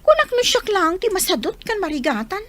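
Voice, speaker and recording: Woman as a very high-pitched whiny schoolgirl. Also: very animated, brisk, a bit bright, exaggerated voice. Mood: bored, complaining. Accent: Filipino. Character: lazy young daughter, whiny schoolgirl student. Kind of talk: Filipino radio drama acting.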